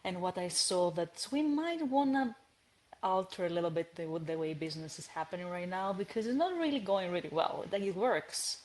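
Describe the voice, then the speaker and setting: A woman tells her story as a podcast guest, her voice sitting a little low for a woman. She talks in an animated, searching way, backing up to restart her phrases and laughing lightly now and then. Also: moderate pace. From Greece. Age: 30s. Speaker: guest, entrepreneur. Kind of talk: conversational podcast interview.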